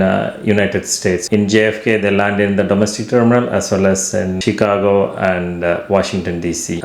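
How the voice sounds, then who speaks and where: Low-voiced man explaining slowly. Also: reverberant. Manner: slow, explanatory, with "uh" fillers. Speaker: travel agency founder guest. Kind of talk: interview answers.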